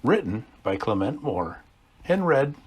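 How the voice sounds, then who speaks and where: A man reading a classic Christmas poem aloud, with dramatic swings between hushed low lines and loud calls. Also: very animated, a little slow, husky. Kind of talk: expressive storybook read-aloud.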